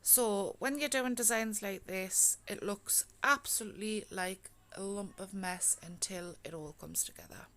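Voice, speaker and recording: A woman talking through a home nail tutorial in a casual voice-over, husky and half-whispering, as she is coming down with a cold and losing her voice. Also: medium pitch, unhurried, hardly any pauses, pushed and bright. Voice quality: gravelly, often slips into whisper.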